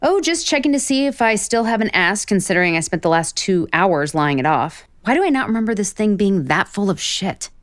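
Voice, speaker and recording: An actress playing a flustered, self-deprecating author in a romantic comedy audio drama, with an American accent and halting, deadpan asides. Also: female voice, medium pitch, close to an everyday voice. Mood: sardonic, irritated. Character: flustered, sardonic, self-deprecating woman author on book tour.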